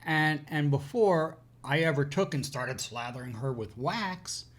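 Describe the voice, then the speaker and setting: Man, co-host, conversational advice, measured halting phrases, mid-sentence pauses. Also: a little high. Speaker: co-host of an educational show. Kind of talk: conversational advice and personal anecdotes.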